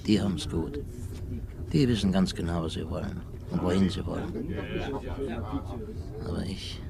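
An actor playing a weary, timid older former cashier in a radio drama, ruminating formally and putting himself down. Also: male voice, sits low, moderate pace, gravelly, a loud crowd. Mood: brooding, fearful. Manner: ruminating, self-deprecating, formal. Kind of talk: 1950s radio drama acting. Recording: noisy, aged 1950s radio recording.

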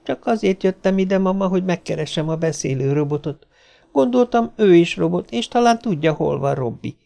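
A man's voice: on the high side, lively intonation, a little mellow, voice pushed up. A man voicing an earnest, chatty little girl in a storybook, childlike and eager to explain. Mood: sorrowful, pleading.

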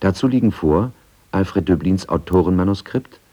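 A man's voice: sits low, lively intonation, normal speed, mellow, breathy edge. A man reading scripted documentary narration as a radio feature narrator.

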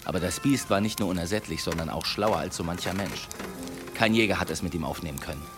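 An actor playing a boastful, theatrical demon hunter who spins tall tales with grand relish in a German historical audio drama. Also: male voice, sits low, fast talker, music in the background, natural voice. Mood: relishing, dramatic.

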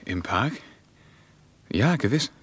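An actor playing a questioning traveller in a radio drama, speaking in short, terse phrases. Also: male voice, fairly low pitch, sing-song, slow pace, fairly bright tone, breathy edge, dry recording, exaggerated voice. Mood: wary. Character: wary, questioning male traveller.

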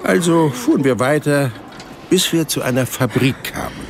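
An actor playing an unflappable hundred-year-old man in a German radio play, recalling things in a creaky, unhurried, dryly polite way. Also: on the high side, lively intonation, noisy background. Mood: calm recounting. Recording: music under the voice.

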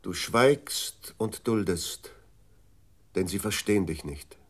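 A grave male reciter of classical verse in a radio play. The voice is fairly low. The delivery is solemn and drawn out very slowly. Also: lively intonation, full character voice.

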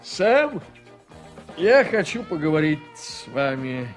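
An actor playing a tipsy, insistent prosecutor who speaks with weight in a radio play. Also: male voice, a little high, sing-song, slow pace, faint music behind. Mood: insistent, suspicious. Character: insistent male prosecutor, after much beer.

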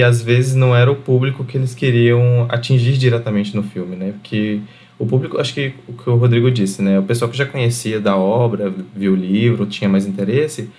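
A Brazilian man chatting casually as a podcast panelist, informal and halting, often repeating words. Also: medium pitch, a little mellow. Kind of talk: casual chat.

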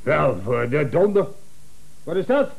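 An actor in a radio comedy playing a pompous, domineering company director, speaking with emphatic bluster. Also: male voice, on the high side, big pitch swings, unhurried, creaky, phone-quality sound, full character voice. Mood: indignant.

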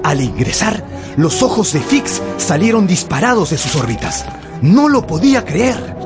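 A man's voice: high voice, sing-song, a little slow. A man narrating a radio drama with theatrical, emphatic storytelling. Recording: music behind the voice.

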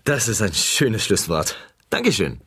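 A man's voice: lowish voice, very animated, a little slow, very noisy. A man playing an earnest, wordy, eccentric interviewer in a satirical radio dialogue. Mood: insistent, polite. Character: chatty eccentric man coining silly sayings as interviewer.

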